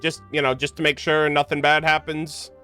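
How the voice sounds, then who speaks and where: A man's voice: on the high side, level tone, moderate pace, bright, forceful tone, clear, resonant tone. A man streaming a game with casual, slangy, joking reactions. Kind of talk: casual live game commentary. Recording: game music behind the voice.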